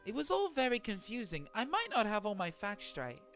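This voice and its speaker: A woman voicing a confused, awkward gentleman with a British accent in an animated comedy mystery. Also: medium pitch, brisk, faint music behind. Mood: sheepish, confused. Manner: blunt, awkward, easily flustered.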